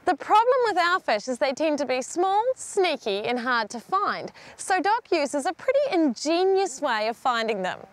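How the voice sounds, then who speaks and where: A woman's voice: high-pitched, very animated, moderate pace, hardly any pauses. A woman in her thirties with a New Zealand accent, presenting a nature TV segment in an upbeat, chatty way from outdoors beside a stream. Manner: upbeat, chatty, plain-spoken.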